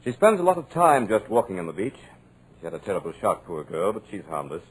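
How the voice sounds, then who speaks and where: An actor playing a smooth, commanding radio producer with a sinister edge, in a 1940s radio drama. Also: male voice, deep voice, big pitch swings, quick pace, exaggerated voice, low-quality recording. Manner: suave, commanding.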